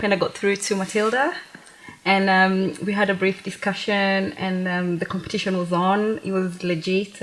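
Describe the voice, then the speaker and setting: A woman's voice: medium pitch, fairly bright tone, poor audio. Young Kenyan woman telling her story. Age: young adult. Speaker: interview guest, pageant queen. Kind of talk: casual chatty storytelling. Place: TV talk show studio, indoors on a sofa.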